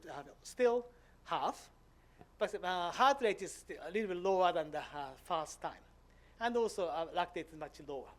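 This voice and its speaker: A man in his fifties from Japan, speaking English with a Japanese accent, giving a conference lecture with many "uh" pauses. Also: sits high. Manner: hesitant, frequent "uh" fillers, simple non-native grammar. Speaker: visiting professor giving a lecture.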